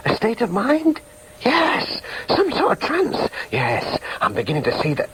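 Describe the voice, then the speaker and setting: A man's voice: extremely high pitch, huge pitch swings, measured pace, fairly bright tone, slight background noise, exaggerated voice, low-quality recording. An actor in a radio drama playing a floundering, argumentative young man, the grown son, hesitant and defensive. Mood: pondering, unsure. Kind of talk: radio drama dialogue with muttered asides.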